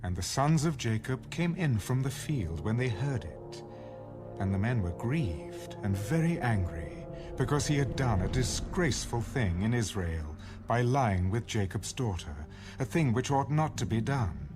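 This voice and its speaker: A man's voice: medium pitch, very animated, measured pace, music in the background. A man narrating a dramatized audio Bible as solemn, scripted storytelling.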